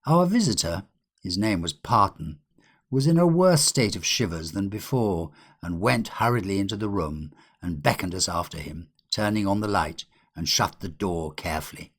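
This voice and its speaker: A man reading a literary ghost story as a narrator, in a measured, old-fashioned storytelling manner. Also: low-pitched, expressive. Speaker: audiobook narrator.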